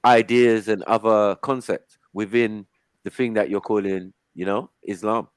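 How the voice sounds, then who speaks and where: A man's voice: on the low side, steady tone, a little slow, smooth, dry recording. A man hosting a heated casual panel discussion, who talks over others and keeps saying "hold on" to keep the floor. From Africa. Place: online call.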